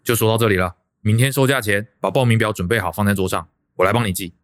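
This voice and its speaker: A man's voice: lowish voice, quick pace, fairly bright tone, dry recording, natural voice. An actor playing a stern, controlling middle-aged father with a Taiwanese accent, curt and commanding in a radio drama. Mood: stern, scolding.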